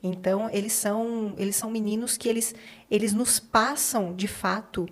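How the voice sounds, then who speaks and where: A woman's voice: mid-range voice, steady tone, normal speed. A middle-aged Brazilian woman hosting a podcast in a casual, conversational way. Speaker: podcast host.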